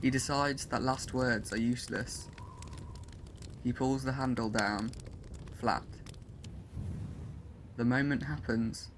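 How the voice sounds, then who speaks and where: A man narrates with a slow, deliberate delivery, building to intense swells at the climactic moments. His voice sits at an ordinary middle pitch. A loud wash of ambient noise runs beneath him throughout. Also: fairly even intonation. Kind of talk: dramatic audiobook narration.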